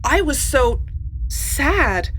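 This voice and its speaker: Anxious, high-voiced devoted ship computer. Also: female voice, big pitch swings, extremely slow, fairly bright tone, slight background noise, exaggerated voice. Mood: earnest. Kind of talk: scripted audio drama acting.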